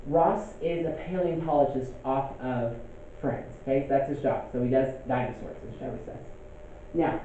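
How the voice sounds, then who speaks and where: A man's voice: on the high side, dark tone, a little hiss or hum. Man lecturing in an echoey room. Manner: conversational, checks in with "okay?". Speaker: teacher. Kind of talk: classroom lecture. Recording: echoey room, phone-like lo-fi sound.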